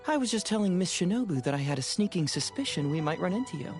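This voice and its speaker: A man playing a breezy, sly young detective in a video game, smooth-talking, teasing and playfully smug. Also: high voice, lively intonation, fast talker, darkish tone, faint music behind.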